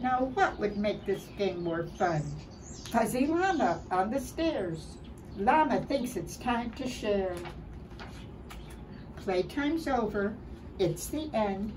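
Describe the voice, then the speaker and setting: An older woman reading a children's picture book aloud in an expressive, deliberate way, dramatizing it for young children. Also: mid-range voice. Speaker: early childhood storybook reader. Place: outdoors by a building wall and door. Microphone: camera a short distance away. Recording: distant mic, clear background noise outdoors.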